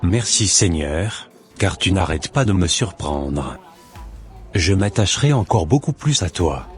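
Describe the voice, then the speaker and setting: Man voicing a low-pitched, devout, humble man. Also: big pitch swings, measured pace, faint music behind. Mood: polite, grateful. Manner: pious, earnest, formal. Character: devout, humble formerly homeless man, earnest and grateful.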